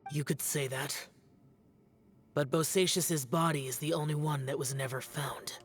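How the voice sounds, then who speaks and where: A man's voice: fairly high pitch, fairly even intonation, unhurried, close to an everyday voice. A man voicing a solemn, aloof, youthful immortal warrior in an anime video game, speaking in a grave, terse, restrained way. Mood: somber, resolute.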